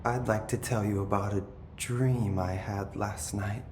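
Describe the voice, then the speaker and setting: An actor with an American accent playing an unhinged, possessed younger brother in a game cutscene, resentful and trance-like, drifting into cryptic sing-song phrases. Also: male voice, fairly low pitch, steady tone, unhurried, slight background noise, close to an everyday voice. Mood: calm, insistent. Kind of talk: video game cutscene acting. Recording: echoey room, loud background ambience.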